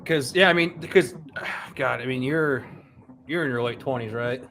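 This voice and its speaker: A man's voice: mid-range voice, unhurried, vocal fry, a bit bright, slight background noise. A man in his thirties hosting a casual podcast interview, rambling with many restarts and reading out player stats. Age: 30s. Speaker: podcast host.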